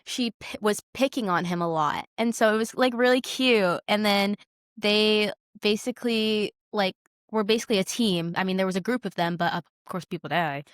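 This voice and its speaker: Excitable young woman, dry podcast sound. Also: medium pitch, normal speed, clear, resonant tone, dry recording. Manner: excitable, gushing, dramatic emphasis. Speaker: podcast co-host. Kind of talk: casual chat.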